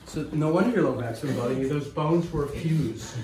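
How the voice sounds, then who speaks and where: A man's voice: fairly high pitch, a little fast, crowd noise. A man, chatting bluntly and jokingly as a chiropractor working on patients. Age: 50s. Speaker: chiropractor, host. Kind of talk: casual chat with patients while working. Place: small treatment room indoors. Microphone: handheld camera a few feet away. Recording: roomy, distant camera mic, faint noise.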